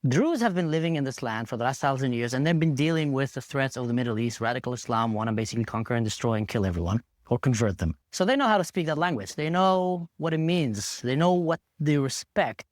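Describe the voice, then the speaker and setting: Male guest from Israel, expressive, halting, dry close recording. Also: mid-range voice, dry recording. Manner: emphatic, halting restarts. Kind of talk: interview answers.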